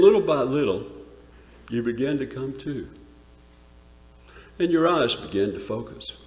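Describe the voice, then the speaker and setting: Man playing an awestruck shepherd, echoing church hall. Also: mid-range voice. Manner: emphatic, dramatic pauses, swells from hushed to shouted. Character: awestruck rough-living male shepherd recalling a wondrous night. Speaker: dramatic reader. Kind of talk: theatrical dramatic monologue reading. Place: large church, live performance. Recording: echoey church hall, long reverb.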